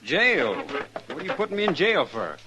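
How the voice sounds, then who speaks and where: A man's voice: on the high side, huge pitch swings, moderate pace, noisy background. An American man playing a stubborn, aggrieved ranch hand in a radio western, with defensive, folksy speech. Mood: defensive, indignant.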